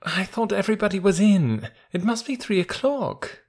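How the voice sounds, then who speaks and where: Grumpy french concierge lady, high squeaky voice, guy putting it on. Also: animated, normal speed, darkish tone. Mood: grumbling. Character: grumbling woman concierge woken at night.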